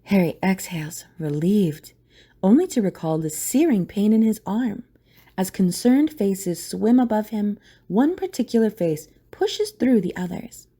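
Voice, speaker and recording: A woman's voice: mid-range voice, very animated, measured pace, mellow, husky. A woman narrating a scripted audio drama in a dramatic storytelling style.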